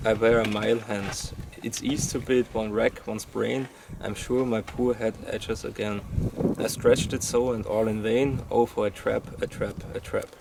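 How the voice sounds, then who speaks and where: A man's voice: lowish voice, gravelly. A man reciting verse as an amateur, stumbling a little and going back over phrases. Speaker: amateur reader. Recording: distant mic, noisy, vehicle sounds.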